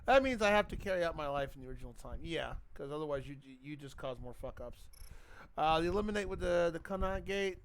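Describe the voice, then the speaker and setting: A man's voice: fairly high pitch, lively intonation, quick pace. A man casually reacting to a game and reading its text aloud, stumbling over words and swearing now and then. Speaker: let's-play streamer.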